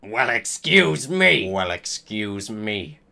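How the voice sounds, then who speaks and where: Angry male wizard teacher, acted by a man: gravelly, forceful growl, slow delivery, comedic fan-fiction read. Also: fairly low pitch, full character voice. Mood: outraged.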